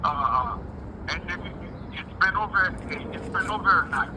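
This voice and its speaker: A man on a phone call from jail, talking heatedly and haltingly, repeating himself as he goes. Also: on the high side, background noise. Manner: halting, repetitive, stumbling over words. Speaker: jailed caller. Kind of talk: heated personal phone conversation.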